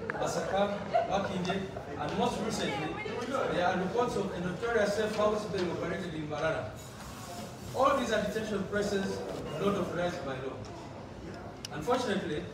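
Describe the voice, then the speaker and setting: A man giving formal prepared testimony to a parliamentary committee, reading in a measured way and addressing the chair, recorded from a distance in a large wood-panelled room. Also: sits high. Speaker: party representative presenting to a parliamentary committee. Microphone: far camera. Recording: echoey room recorded from afar with noise.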